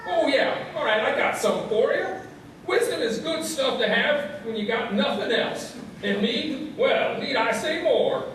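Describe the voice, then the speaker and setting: A man's voice: extremely high pitch, animated, unhurried, fairly bright tone, exaggerated voice. A man playing a cranky, hard-of-hearing old small-town sage in a comedy radio play, rambling in a muddled, folksy way. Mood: self-satisfied, folksy. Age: elderly. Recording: very echoey hall, distant and noisy.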